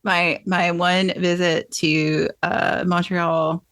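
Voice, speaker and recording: Woman, Southern American accent, podcast host, low-pitched, dry close sound, casual storytelling. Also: level tone, takes it slowly, fairly bright tone, no room sound. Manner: chatty, anecdotal, frequent affirmations. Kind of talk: casual conversational interview.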